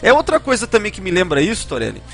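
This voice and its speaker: A man's voice: sits high, wildly swooping pitch, fast talker, gravelly, a bit bright, faint music behind. A man with a Brazilian Portuguese accent hosting a casual fan podcast, informal and often hesitant, checking for agreement with tag questions. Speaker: podcast host.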